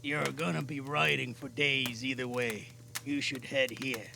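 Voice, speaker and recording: A man playing a weary, cautious older mentor in an amateur audio drama, speaking in a measured, grave, gravelly way. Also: medium pitch, steady tone. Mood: instructive, steady. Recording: noisy amateur mic with clicks.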